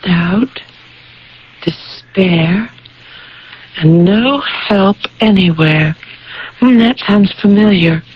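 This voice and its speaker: Bookish young woman in radio mystery drama; mid-pitched, thoughtful, deliberate, dull vintage broadcast sound. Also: slight background noise. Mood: grave, absorbed. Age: young adult.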